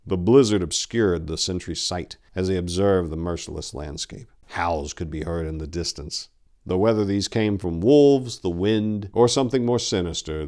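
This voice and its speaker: A man with a low voice gives a dramatic reading as narrator. His delivery is brooding, deliberate and theatrical. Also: darkish tone. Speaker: narrator and channel host.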